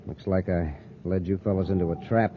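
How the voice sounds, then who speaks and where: An actor playing a plucky injured spaceship pilot, wry and plain-spoken, in 1950s radio adventure style. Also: male voice, low voice, steady tone, fairly quick, close to an everyday voice. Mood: resigned. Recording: dull, noisy old radio recording.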